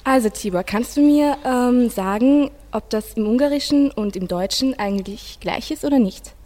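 A teenage girl, a school student, presenting conversationally with classmates and speaking in a halting way. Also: a little high, warm tone. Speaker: school student in a radio project.

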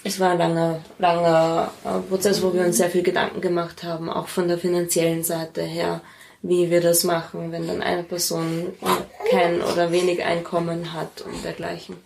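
A woman's voice: fairly low pitch, monotone, moderate pace, gravelly, a little mellow, slight background noise. A woman with an Austrian accent, answering conversationally as an interview guest.

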